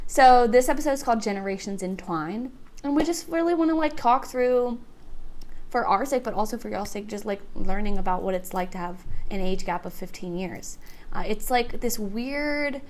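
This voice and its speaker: A woman, co-hosting a podcast in a chatty, upbeat way with lots of fillers. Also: mid-range voice. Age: 20s. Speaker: podcast co-host. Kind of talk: casual chat.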